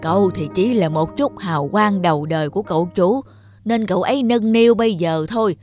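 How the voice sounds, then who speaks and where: A man's voice: high-pitched, brisk, a little mellow, no room sound, faint music behind, natural voice. A man voicing an indignant alarm clock in a cartoon, scolding bluntly on behalf of an elder. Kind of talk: cartoon character acting.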